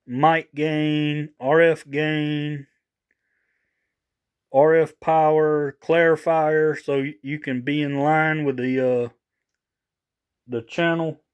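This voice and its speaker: A man casually showing off gear for a vlog, rambling and halting as he repeats himself. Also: on the high side, little pitch movement, clear, resonant tone, muffled recording. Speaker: vlogger showing radio gear. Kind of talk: casual product showcase.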